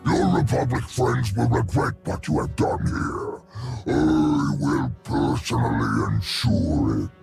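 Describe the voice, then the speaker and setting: An actor playing a pompous, menacing male Hutt crime lord in a video game, with a deep gravelly drawl. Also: big pitch swings, over music, exaggerated voice. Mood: boastful. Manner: slow, boastful, threatening.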